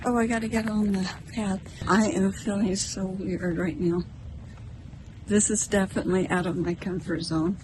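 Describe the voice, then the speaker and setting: A woman's voice: medium pitch, fairly even intonation, moderate pace, noisy background. A middle-aged American woman talking casually and reflectively to camera as a vlogger, in a musing, slightly self-conscious conversational way. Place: outdoors in a park, partly in a parking lot.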